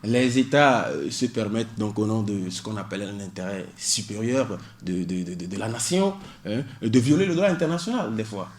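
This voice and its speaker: A man's voice: lowish voice, expressive, moderate pace, hardly any pauses. A man, a doctoral student in public law, explaining asylum law on a radio show with many hesitations and cited articles. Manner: hesitant, many fillers, cites legal articles.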